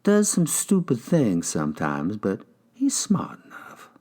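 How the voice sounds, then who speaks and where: A dapper police detective, played by a male narrator, questions in a low, measured voice. He sounds deceptively friendly while he probes, in the manner of crime audiobook dialogue. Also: huge pitch swings, warm tone, heavily acted. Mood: cool, probing.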